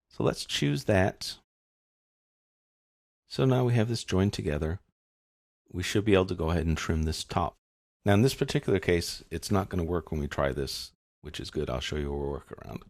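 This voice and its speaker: A man narrating a step-by-step software tutorial as an instructor, explaining in an informal way. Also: sits low, gravelly, no echo, clean studio sound.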